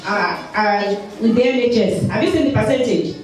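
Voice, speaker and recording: A Nigerian woman gives an emphatic talk from slides, stressing each figure. Her voice sits a little high and comes from a distance in a strongly echoing hall with a crowd behind her. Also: normal speed. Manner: emphatic, repeats key figures for her interpreter. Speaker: health worker giving a talk. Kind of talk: presentation with slides.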